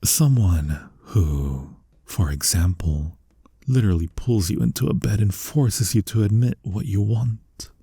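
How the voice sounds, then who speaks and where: Incubus demon, male performer, ASMR roleplay: tender and coaxing, low gravelly murmur slipping into whispers, close and dry. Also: animated, warm tone, no echo, heavily acted. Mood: fond, teasing. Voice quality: breathy, husky, often sinking into whispers. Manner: slow, coaxing, reassuring. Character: flirty male incubus demon, tender, soothing, gently dominant. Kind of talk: ASMR roleplay, intimate comforting monologue.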